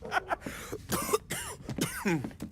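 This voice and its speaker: An actor playing a sardonic, gleeful mob bomb maker in a video game. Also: male voice, medium pitch, normal speed, a little hiss or hum, close to an everyday voice. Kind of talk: dramatic video game cutscene acting.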